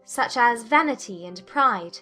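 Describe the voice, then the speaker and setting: A woman acts out a spirited young Regency lady with a fairly high voice. She is witty and teasing, yet formal and articulate. Light music runs behind her in this audiobook drama. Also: expressive, measured pace, pushed and bright, heavily acted. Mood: amused, arch. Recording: music bed under the voice.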